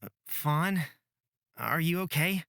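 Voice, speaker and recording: A woman plays an anxious young man in a fantasy game, low and bright in tone. The delivery is halting and hesitant, recorded very dry. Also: animated, creaky, no room sound, full character voice. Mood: concerned.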